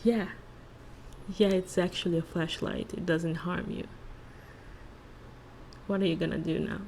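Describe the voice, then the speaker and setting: A woman speaking softly in an ASMR monologue, hushed and halting, lingering on phrases and at times slipping into a whisper. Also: lowish voice, fairly even intonation, vocal fry, slight background noise. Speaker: ASMR creator and host. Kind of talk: soft-spoken ASMR role-play monologue with song analysis.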